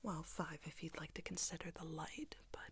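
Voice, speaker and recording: A woman speaks in a soft, breathy whisper, soothing and reassuring, in an unhurried ASMR roleplay as a sleep clinic technician. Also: low-pitched, steady tone.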